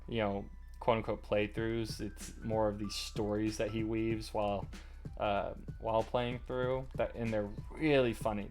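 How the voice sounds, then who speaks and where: A man casually talking while he plays a game, halting and rambling with a slight stutter and lots of fillers. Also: lowish voice, vocal fry. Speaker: gaming channel host. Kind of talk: casual commentary while playing. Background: faint lo-fi music and keyboard clicks.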